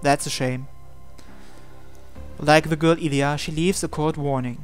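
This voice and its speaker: A man's voice: fairly high pitch, fairly even intonation, unhurried, clean tone, faint music behind. A man hosting a game video, reading visual novel text aloud and adding his own asides. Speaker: gaming video host.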